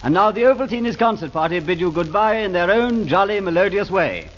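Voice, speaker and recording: A man making a formal, measured 1930s radio sponsor announcement, from a dull, lo-fi vintage radio recording. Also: high voice, animated.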